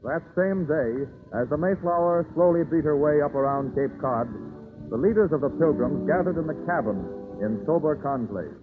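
A man's voice: fairly high pitch, normal speed. A man giving formal, solemn scripted narration in the dramatic style of old-time radio. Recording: tinny vintage radio recording with music. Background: music.

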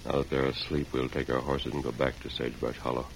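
Very deep-voiced, resolute cowboy hero. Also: male voice, little pitch movement, creaky. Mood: hushed, thoughtful. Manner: measured, formal, authoritative. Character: calm, resolute masked cowboy lawman hero, adult man. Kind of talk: old-time radio western acting. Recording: noisy vintage radio drama recording.

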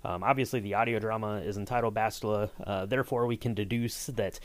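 A man's voice: fairly low pitch, steady tone, quick pace. A man hosting a conversational podcast interview, asking wordy, roundabout questions. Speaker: podcast host interviewing a writer.

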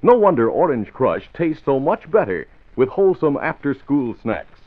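A man's voice: mid-range voice, very animated, moderate pace. A man reading scripted lines as a narrator and announcer in 1940s radio style. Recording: narrow lo-fi old radio recording.

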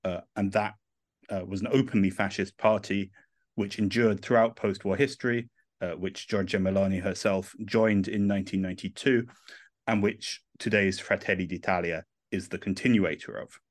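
A thoughtful English man in his thirties, talking through his ideas with frequent "uh" pauses. His voice sits low, and the recording is dry and close with no room sound. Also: fairly even intonation, no room sound. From England. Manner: thoughtful, many "uh" fillers. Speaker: author and guest. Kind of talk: podcast interview.

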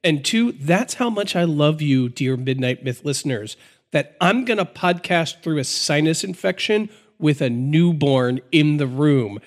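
A congested American man with a slightly high voice co-hosts a podcast. He sounds nasal and a bit gravelly, and he keeps the casual chat cheeky and upbeat. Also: expressive, a little slow. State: congested from a sinus infection. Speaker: podcast co-host.

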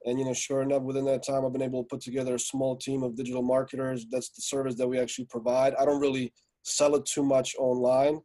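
A man from Slovakia in his forties, a guest on a podcast, telling his story in a relaxed, rambling way with many fillers. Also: mid-range voice, fast talker. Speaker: guest, music entrepreneur. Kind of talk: casual podcast interview.

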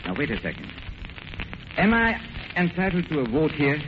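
A man's voice: a little high, wildly swooping pitch, moderate pace. A man playing a courteous, dryly witty guest in a scripted old radio comedy, with formal, polite speech. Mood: puzzled. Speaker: guest star. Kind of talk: 1940s radio comedy acting. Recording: noisy, muffled old radio recording.

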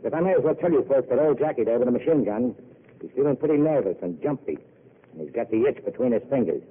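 A man playing a calm, threatening gangster boss in a 1940s radio play, with a slow drawl. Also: mid-range voice, slightly rough. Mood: menacing. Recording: tinny old radio broadcast.

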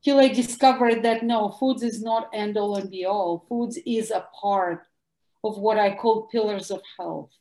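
A woman with a Russian accent, a guest doctor answering interview questions in an animated, emphatic way. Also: mid-range voice, unhurried. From former Soviet Union. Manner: animated, emphatic, bursts of louder words. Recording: echoey room.

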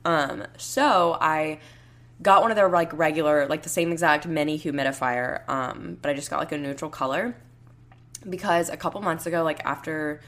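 Hoarse low-voiced woman rambling casually. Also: normal speed, a bit bright. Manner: rambling, heavy use of "like" and "um". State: hoarse, raspy voice. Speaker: podcast host. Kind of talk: casual solo podcast chat. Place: home.

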